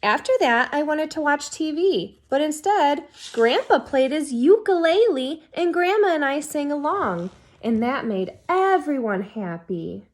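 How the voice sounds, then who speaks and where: A woman reading a children's picture book aloud expressively, as a teacher who pauses to put questions to young listeners. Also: high-pitched, animated.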